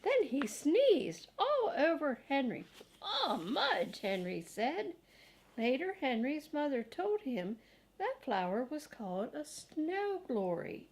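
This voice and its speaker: A woman's voice: high voice, huge pitch swings, slow pace, darkish tone. An older woman reading a children's storybook aloud as a grandmother, expressively and with casual asides.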